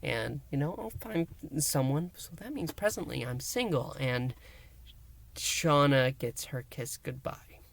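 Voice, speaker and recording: A man presents a review as the host of a channel, talking straight to his viewers. His delivery is halting and emphatic, with dramatic pauses and stressed words. His voice sits at a medium pitch. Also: animated, gravelly. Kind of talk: review and recap monologue to viewers.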